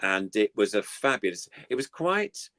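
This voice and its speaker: A man's voice: fairly low pitch, sing-song, normal speed, fairly bright tone, dry recording, clean studio sound. An older man chatting casually and reminiscing as a guest puppeteer, in a rambling, enthusiastic way. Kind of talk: casual chat, reminiscing about old TV puppetry.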